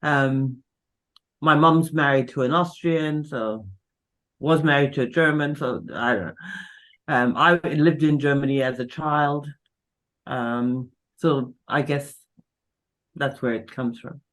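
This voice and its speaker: A woman speaking as a guest on an online chat, giving advice deliberately and encouragingly, with occasional stumbles. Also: a bass voice. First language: English. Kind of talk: casual conversational advice.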